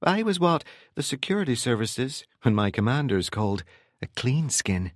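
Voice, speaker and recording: A man's voice: mid-range voice, big pitch swings, moderate pace, dry recording, clean studio sound. A man voicing a guarded, secretive ex-militant with a Northern Irish accent in a narrated novel. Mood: guarded, confessional. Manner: evasive, feigned casualness.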